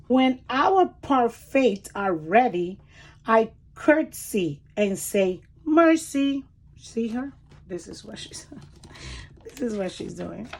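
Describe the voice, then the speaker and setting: A woman reading a picture book aloud to children in an expressive, deliberate way, pausing to explain words and point things out. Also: fairly high pitch, creaky, slight background noise. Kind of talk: animated children's read-aloud with commentary asides.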